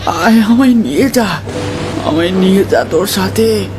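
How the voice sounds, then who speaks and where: A man's voice: sits high, unhurried, over music, voice pushed up. A man voicing a desperate villager in a cartoon, calling out in repeated, wailing pleas. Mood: desperate pleading. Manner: pleading, repetitive calling. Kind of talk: cartoon voice acting.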